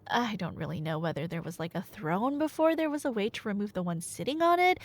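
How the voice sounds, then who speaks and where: A woman playing a nerdy girlfriend in an ASMR roleplay, telling Greek myths conversationally with playful asides and dramatic pauses. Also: medium pitch, sing-song. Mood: playful rambling.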